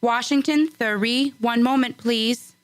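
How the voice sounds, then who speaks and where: A woman's voice: fairly high pitch, steady tone, slow. A woman acting a polite, formal telephone operator in a radio drama. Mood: polite, businesslike.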